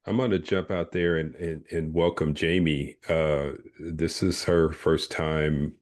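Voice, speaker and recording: Very deep flat-voiced man. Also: takes it slowly. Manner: hesitant, many "uh" and "kinda", supportive. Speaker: experienced coach. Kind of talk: casual group video call.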